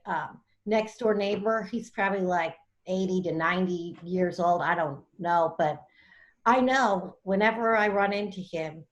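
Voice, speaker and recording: A woman joining a podcast as a guest over a video call, chatting casually and swearing now and then. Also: medium pitch. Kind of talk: casual chat.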